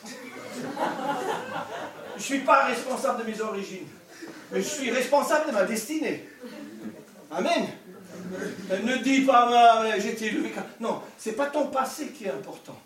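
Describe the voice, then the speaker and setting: Older male preacher, humorous asides, very high voice, distant roomy hall sound. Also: expressive, normal speed, long pauses, a bit bright. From Scotland. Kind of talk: sermon. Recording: echoey hall, distant mic.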